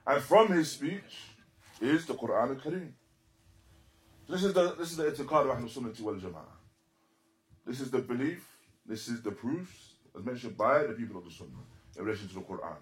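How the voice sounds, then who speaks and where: A man giving a religious lecture as a teacher, speaking deliberately and emphatically in short, repeated phrases and switching into Arabic quotations. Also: mid-range voice, animated, rough recording. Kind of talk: religious lecture explaining a text.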